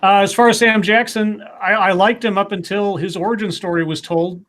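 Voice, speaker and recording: A man's voice: sits high, steady tone, fairly quick, fairly bright tone, a little hiss or hum. A middle-aged man giving casual opinions in an emphatic, sweary way. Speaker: podcast guest.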